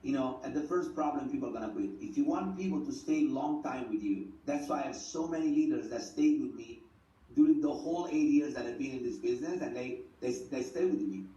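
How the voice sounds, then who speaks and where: A man's voice: mid-range voice, brisk, dark tone, reverberant, recorded from a distance, lo-fi sound. Earnest Italian-accented man listing tips. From Italy. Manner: direct, earnest, often adds "right?". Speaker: network marketing speaker. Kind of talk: motivational talk, listing tips.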